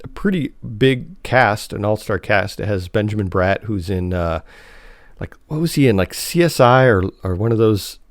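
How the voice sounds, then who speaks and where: A man's voice: fairly low pitch, expressive, normal speed, dry recording. American man in casual podcast chat, close dry sound. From United States. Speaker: podcast co-host. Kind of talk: casual conversational review chat.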